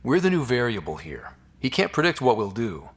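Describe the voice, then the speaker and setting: An actor playing a wry, steady young expedition leader in a video game, with a husky voice. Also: male voice, mid-range voice, brisk. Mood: determined. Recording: game dialogue over faint music.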